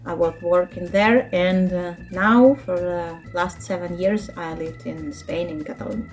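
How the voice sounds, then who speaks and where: A woman in her thirties from Ukraine, a native speaker of Russian and Ukrainian, talking in a casual interview with a Ukrainian accent, halting and searching for words, laughing often. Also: on the low side, steady tone, over music. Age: 30s. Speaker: interview guest, children's book translator.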